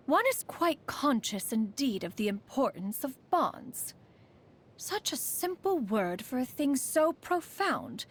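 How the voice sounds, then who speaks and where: A woman playing a proud, aloof immortal adept who secretly cares, speaking in formal, archaic phrases as a video game character. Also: a little high. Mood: proud, reflective. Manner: haughty, archaic formal speech, refers to self as "one".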